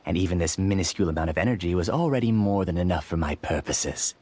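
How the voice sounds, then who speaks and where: A deep, courteous, calculating scholar. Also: male voice, fast, a little mellow. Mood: smug. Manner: courteous, theatrical, smooth-talking. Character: polite, calculating male scholar, obsessed and grieving. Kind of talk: video game voice acting.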